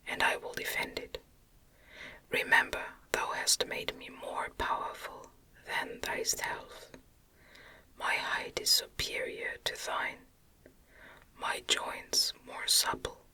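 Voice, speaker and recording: A narrator voicing a wretched, eloquent man-made monster in a breathy ASMR whisper, with formal, archaic, imploring speech. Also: pushed and bright. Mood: pleading. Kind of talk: whispered ASMR audiobook acting.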